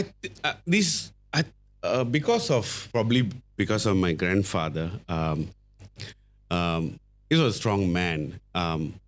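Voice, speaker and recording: A middle-aged man from Malaysia with a Malaysian English accent, a podcast guest telling his story in a halting way, full of fillers and restarts. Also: lowish voice. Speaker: guest, company founder.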